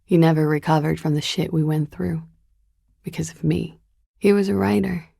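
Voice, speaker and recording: A guarded, melancholic young woman on the run, speaks in a very low voice full of creak. Her delivery is subdued and confessional, breathy at the edges. The sound is very dry and close, with an intimate, evasive weariness. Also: fairly even intonation, moderate pace, dark tone, no room sound, heavily acted. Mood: regretful, ashamed. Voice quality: low, breathy, husky and gravelly. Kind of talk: video game character dialogue, intimate and understated.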